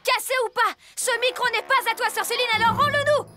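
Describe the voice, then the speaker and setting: Woman as a very high-voiced boy superhero. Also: quick pace. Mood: determined. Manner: commanding, emphatic. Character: brave, earnest young boy superhero leader. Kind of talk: French-dubbed children's cartoon acting. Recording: music under the voice.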